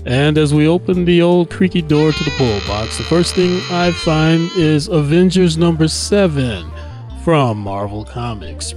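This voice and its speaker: Slightly high-voiced male host over soft music. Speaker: podcast host. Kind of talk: scripted comics news segment. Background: faint music.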